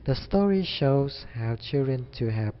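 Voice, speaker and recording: A man's voice: medium pitch, animated, unhurried. A young man delivering a scripted student presentation. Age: young adult. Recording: dull audio with faint background noise.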